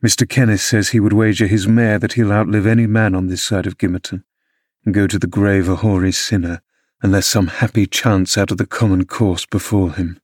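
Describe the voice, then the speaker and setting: A man's voice: fairly even intonation, fairly quick, no echo, studio quality, close to an everyday voice. A man voicing a brooding young man who mutters sardonic remarks. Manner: low, muttering.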